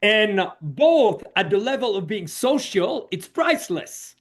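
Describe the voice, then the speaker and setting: A man's voice: high-pitched, big pitch swings, unhurried. A middle-aged man from Switzerland, a social coach guest, telling his story in a reflective way with many "you know"s. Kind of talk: conversational podcast interview.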